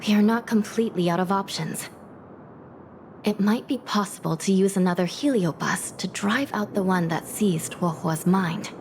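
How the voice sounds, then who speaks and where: A woman's voice: mid-range voice, a little hiss or hum, lightly acted. Composed, serious female judge, acted by a woman. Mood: firm, reassuring. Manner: measured, serious, formal. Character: composed, duty-bound female supernatural judge, calm and firm. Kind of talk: video game dialogue acting.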